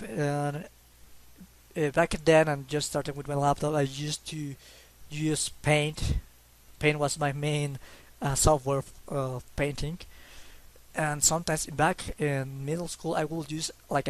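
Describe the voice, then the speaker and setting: A young man from Mexico, speaking English with a Mexican Spanish accent, talks casually and hesitantly about his drawings, with many fillers and restarts. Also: fairly high pitch. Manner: self-conscious, laughs a little. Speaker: hobby artist.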